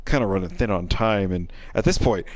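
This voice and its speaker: A man's voice: low voice, brisk, background noise. A man giving casual, sardonic live gaming commentary, given to exasperated asides. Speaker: game streamer.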